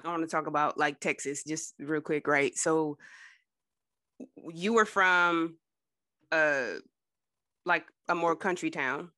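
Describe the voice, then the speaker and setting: A man's voice: a little high, fairly even intonation, slow, pauses often, bright, forceful tone, dry recording, studio quality. A man hosting a podcast, asking questions in a casual way and backing his guest up with short mm-hmms. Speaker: podcast host.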